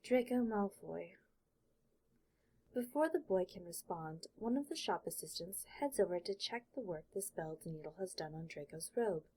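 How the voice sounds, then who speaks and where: Slightly husky woman narrating fiction. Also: lowish voice, expressive, normal speed, hardly any pauses, darkish tone, rough recording. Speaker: audiobook narrator. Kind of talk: scripted fiction narration, present tense.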